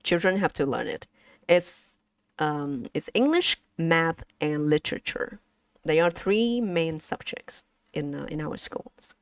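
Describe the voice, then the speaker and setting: A young woman from Vietnam with a Vietnamese accent, teaching pronunciation to her stream chat in a halting, careful way with many fillers. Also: low voice, sing-song, dry recording, clean studio sound. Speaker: vtuber streamer teaching language. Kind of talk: casual language lesson to chat.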